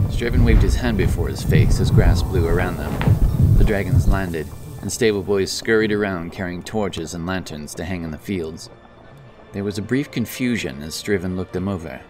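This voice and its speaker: Casting: deep male storyteller, solemn and dramatic, for scripted fantasy narration over strong music.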